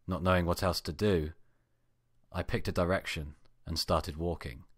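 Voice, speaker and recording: A man giving an amateur dramatic reading of a first-person story, with expressive, acted-out delivery. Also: sits low, moderate pace. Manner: expressive, acts out exasperated outbursts. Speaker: amateur fan fiction reader.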